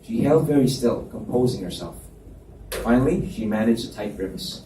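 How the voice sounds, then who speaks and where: A man's voice: medium pitch, lively intonation, moderate pace, warm tone. A man reading scripted romance fiction aloud as the narrator at a live book reading. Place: library event space, indoors. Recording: echoey room, distant mic, faint noise.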